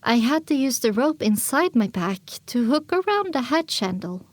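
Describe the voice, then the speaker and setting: A woman's voice: on the high side, sing-song, moderate pace, dry recording. A woman reading scripted first-person story narration as the narrator of an audio drama.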